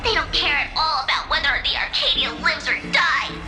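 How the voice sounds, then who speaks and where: A tiny, very high-voiced girl announcer delivers game dialogue like a broadcast bulletin. The delivery is dramatic and indignant, over loud music. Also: lively intonation, moderate pace. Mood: bitter, indignant.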